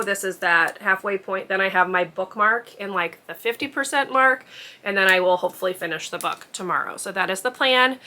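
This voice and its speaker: A woman's voice: medium pitch, bright, forceful tone, a little hiss or hum. A woman book vlogger chatting casually to camera, rambling with lots of ums and likes.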